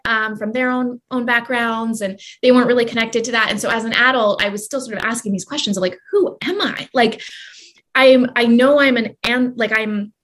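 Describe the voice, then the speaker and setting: A woman's voice: on the high side, a bit bright. Woman, interview guest, rambling, reflective, full of fillers. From Canada. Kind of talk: conversational interview.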